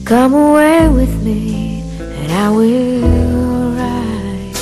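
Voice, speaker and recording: Slow female singing over music. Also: a little high. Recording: played song with full musical backing.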